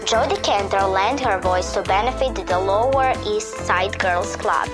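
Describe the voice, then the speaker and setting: A woman's voice: medium pitch, measured pace. A female speaker from Croatia reading scripted podcast credits and announcements. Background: music under the voice.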